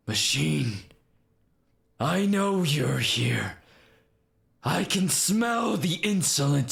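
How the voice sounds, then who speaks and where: A man's voice: mid-range voice, vocal fry, fairly bright tone, pitched above the performer's own voice, full character voice. A man voicing a proud, wrathful angel warrior from a game, in grandiose, archaic declamation with some reverb. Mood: menacing. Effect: added reverb and delay.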